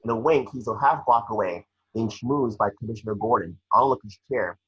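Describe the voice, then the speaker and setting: A man's voice: fairly low pitch, brisk, a bit bright, breathy edge. A man reading scripted scene descriptions as narrator, stumbling now and then. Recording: echoey, muffled home microphone.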